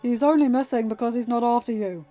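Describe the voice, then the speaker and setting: A woman's voice: fairly high pitch, quick pace, lo-fi sound, faint music behind, close to an everyday voice. Commanding male pilot played by a woman, raised urgent voice, firm fatherly orders, space battle storybook. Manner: instructing, shouted orders over battle.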